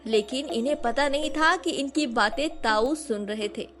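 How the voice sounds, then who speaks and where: High-voiced woman narrating a story. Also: normal speed.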